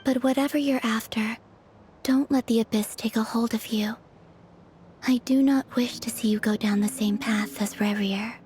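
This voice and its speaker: A woman playing a serene, gentle yet resolute young moon maiden in a video game, with a soft, breathy delivery. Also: fairly high pitch, steady tone, moderate pace. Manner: gentle, solemn. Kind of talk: video game character acting. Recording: music behind the voice.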